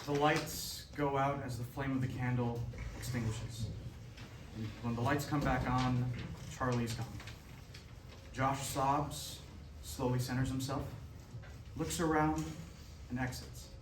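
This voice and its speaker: A man's voice: medium pitch, steady tone, slow pace, pauses often. A man reading scripted stage directions as the narrator of a staged reading. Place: live staged reading in a hall. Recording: noisy, echoey room from far off.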